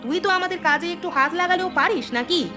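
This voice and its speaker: A woman's voice: very high voice, fast, music in the background. A woman voicing a bickering sister-in-law in a village family cartoon, scolding and complaining. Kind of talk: cartoon family drama dialogue.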